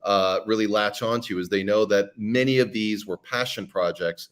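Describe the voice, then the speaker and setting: A man's voice: on the low side, steady tone, normal speed. A middle-aged man who creates fiction podcasts, talking earnestly as an interview guest and often restarting his sentences. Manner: earnest, with frequent restarts and repeated words. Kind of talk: conversational interview answers.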